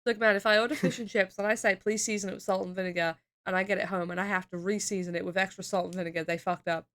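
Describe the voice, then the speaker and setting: A woman's voice: medium pitch, steady tone, rapid-fire, a bit bright. A young woman from the UK chatting casually on a stream, reading chat aloud, laughing often and swearing. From United Kingdom.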